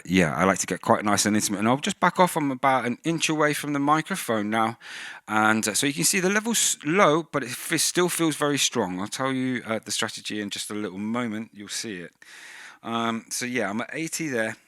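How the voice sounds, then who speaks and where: Man, lowish bright voice, casual tutorial host, close on a dry vocal mic. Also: moderate pace, dry recording. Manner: close-miked, talks right on the mic at times. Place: indoor room, dim light. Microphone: vocal mic with pop screen through mixer.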